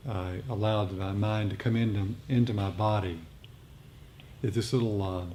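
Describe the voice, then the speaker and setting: A man leading a meditation group, speaking off the cuff in a reflective, halting way with repeated phrases. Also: on the low side, steady tone, dark tone. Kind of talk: unscripted reflective talk on meditation practice. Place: meditation group meeting room. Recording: noisy live room recording.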